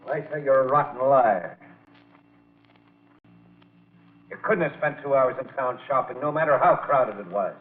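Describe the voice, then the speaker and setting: An actor playing a jealous, bullying husband, harsh and accusing, in an old radio drama. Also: male voice, medium pitch, wildly swooping pitch, very slow, soft background music, heavily acted. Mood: accusing, menacing. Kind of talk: 1940s-50s radio drama acting. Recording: muffled tinny radio, heard through door.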